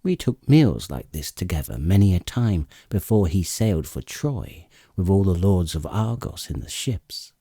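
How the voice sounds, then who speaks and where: A man's voice: deep voice, very animated, normal speed, few pauses, dark tone, breathy edge, no room sound, clean studio sound, exaggerated voice. A man voicing a goddess disguised as a seafaring lord, assured and questioning, in a declamatory epic audiobook reading. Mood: confident, foretelling.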